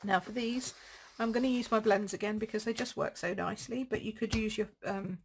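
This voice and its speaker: Chatty croaky crafter. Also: female voice, medium pitch, fairly even intonation, brisk, a little hiss or hum, low-quality recording. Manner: chatty, rambling, thinks aloud while crafting. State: croaky throat. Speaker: card-making demonstrator. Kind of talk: casual live craft demo.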